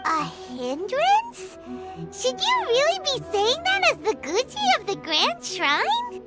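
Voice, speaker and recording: A woman's voice: extremely high pitch, wildly swooping pitch, slow, exaggerated voice. An actress playing a tiny, childlike fairy girl companion in a video game, chirpy, earnest and naive. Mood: puzzled. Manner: chirpy, refers to herself in third person. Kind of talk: video game character dialogue. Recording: loud game music behind the voice.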